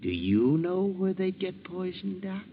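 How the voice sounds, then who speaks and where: An actor playing a paranoid, hard-drinking husband in a melodramatic, accusing 1940s radio drama style. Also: male voice, high-pitched, sing-song, measured pace, full character voice. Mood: fearful, insistent. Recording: dull, noisy 1940s radio recording.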